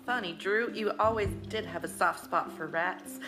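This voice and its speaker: Woman playing a sultry, sharp-tongued femme fatale. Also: mid-range voice, normal speed, over music, lightly acted. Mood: mocking. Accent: Southern US. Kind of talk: 1940s radio noir acting.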